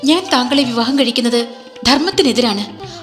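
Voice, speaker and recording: A woman's voice: a little high, animated, moderate pace, big-room sound, faint music behind, close to an everyday voice. A woman voicing a proud, skilled young warrior princess who speaks firmly and with dignity. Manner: firm and dignified. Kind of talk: dubbed cartoon acting.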